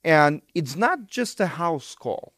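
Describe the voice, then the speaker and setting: A man's voice: fairly high pitch, big pitch swings, slow, a bit bright, no room sound, studio quality. A middle-aged man from Ukraine with a Ukrainian accent, explaining things emphatically in a conversational interview as a guest surgeon.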